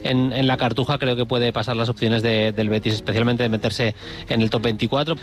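A man's voice: mid-range voice, little pitch movement, a little fast. A man giving off-the-cuff football analysis.

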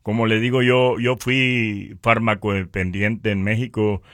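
A man from northern Mexico with a Mexican accent, giving a conversational religious testimony in a halting way, repeating words. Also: fairly low pitch, little pitch movement, a bit bright, no echo, studio quality.

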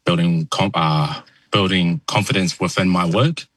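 A young man from New Zealand with a New Zealand accent, a soft-spoken, halting radio interview guest who fills pauses with ums. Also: low-pitched, steady tone, no room sound. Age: young adult. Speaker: guest landscaper.

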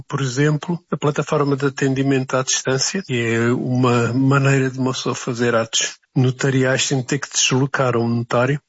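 Husky Portuguese man being interviewed. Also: mid-range voice, a bit bright, dry recording, highs cut off. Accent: European Portuguese. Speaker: association leader being interviewed. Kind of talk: spontaneous interview answers.

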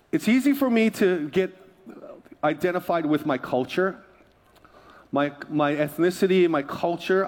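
A man from Hawaii preaching a sermon as a pastor, repeating key phrases for emphasis and calling for response. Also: mid-range voice. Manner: emphatic repetition, calls for congregational response.

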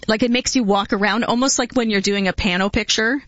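A woman's voice: on the high side, steady tone, brisk, fairly bright tone, dry recording. Chatty woman radio host, dull dry sound. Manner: chatty, laughs often, many fillers. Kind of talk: casual radio chat.

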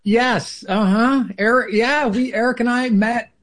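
A man's voice: extremely high pitch, fairly even intonation, measured pace, a bit bright. A man from upstate New York, a guest improviser, telling stories in a casual radio interview with many restarts and self-corrections. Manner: rambling, self-correcting storyteller.

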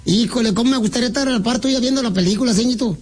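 Man putting on a very high squeaky voice, cheeky prank caller, crude teasing jokes. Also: rapid-fire, over music. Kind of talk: prank phone call.

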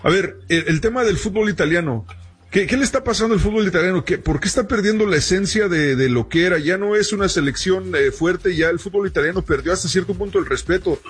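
A man's voice: high-pitched, fast, slightly rough. A man hosting a conversational sports radio interview, putting long, deferential questions to his guest. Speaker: radio host.

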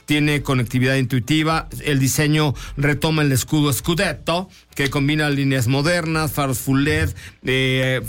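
A man's voice: fairly high pitch, smooth. A man with a Mexican accent hosting a casual car talk show, joking and enthusiastic. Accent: Mexican Spanish.

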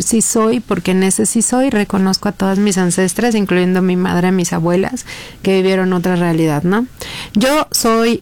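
A woman's voice: medium pitch, a little mellow. A Mexican woman in her fifties, a lawyer and public servant, talking earnestly in a radio interview with many fillers and restarts. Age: 50s. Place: radio booth.

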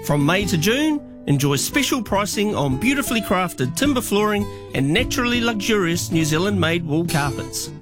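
A man's voice: very animated, moderate pace, few pauses, music in the background. A male voice-over giving an upbeat, friendly flooring advertisement. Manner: upbeat, friendly sales pitch.

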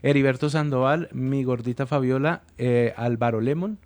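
A man's voice: medium pitch, normal speed, darkish tone. A man, a comedian and show regular, telling long anecdotes in casual chat with many restarts. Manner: chatty, tells long stories with many restarts.

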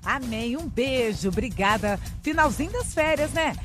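A woman's voice: sits high, expressive, normal speed, a bit bright. A woman hosting a morning radio show, greeting listeners affectionately and sending kisses to callers. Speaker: radio host. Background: background music.

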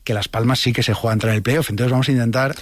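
A man's voice: medium pitch, fairly even intonation, rapid-fire, no echo. A man with an accent from Spain, speaking as a contributor in a casual radio chat on a studio microphone. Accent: Spanish from Spain. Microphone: close studio radio microphone.

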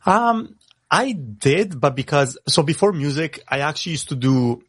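A man's voice: fairly high pitch, animated, a bit bright, husky, no room sound. Italian-accented man, dry close mic. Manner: fast, self-correcting, repeats words when starting thoughts. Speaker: guest rapper. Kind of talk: casual radio interview.

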